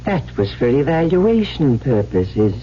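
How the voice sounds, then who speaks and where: Cold, slow, superior alien. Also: male voice, medium pitch, big pitch swings, warm tone. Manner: measured, formal, condescending. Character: cold, superior male alien plotting humanity's destruction. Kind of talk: 1970s radio drama acting. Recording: dull, faintly hissy old radio recording.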